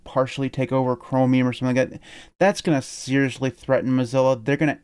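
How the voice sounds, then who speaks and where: A man's voice: mid-range voice, darkish tone, clean tone. A man hosting a casual podcast, rambling with frequent laughs and restarts. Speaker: podcast host.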